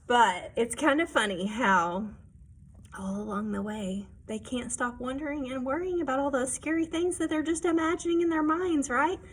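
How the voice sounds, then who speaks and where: A woman's voice: on the high side, a bit bright, slight background noise. A woman reading a children's story aloud expressively, with simple explanations and questions to her young listeners.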